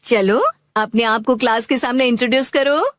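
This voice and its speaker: A woman's voice: fairly high pitch, normal speed, dry recording, clean studio sound, lightly acted. A woman playing a patient kindergarten teacher in a cartoon dub, coaxing and instructive. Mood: encouraging. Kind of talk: Hindi cartoon dub acting.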